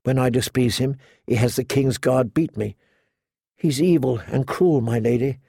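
A man voicing a timid, frightened young noble girl in an audiobook, halting and hushed. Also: on the high side, dry recording, clean studio sound. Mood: trembling, hushed.

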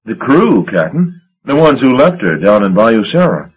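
A man's voice: medium pitch, big pitch swings, normal speed, pitched above the performer's own voice, natural voice. A man voicing a flustered, gaunt young shipping agent who stammers and babbles. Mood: nervous babbling. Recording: dull lo-fi recording.

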